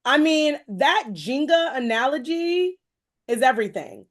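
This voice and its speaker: A woman's voice: high-pitched, big pitch swings, unhurried. A woman hosting a motivational, faith-based conversation, talking in an encouraging, emphatic way and often repeating phrases. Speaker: podcast host.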